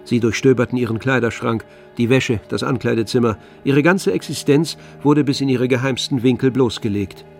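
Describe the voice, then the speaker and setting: A man's voice: medium pitch, normal speed, creaky, few pauses, faint music behind. A man narrating a literary radio drama in a scripted storytelling style.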